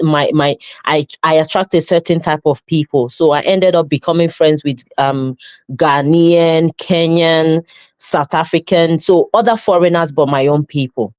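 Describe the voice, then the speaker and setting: A woman's voice: low-pitched, darkish tone, no room sound. A woman from Nigeria with a Nigerian accent, a custody nurse in her thirties telling her life story in an interview, reflective and full of fillers and restarts. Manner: reflective, with frequent fillers and self-corrections. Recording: dull lo-fi sound.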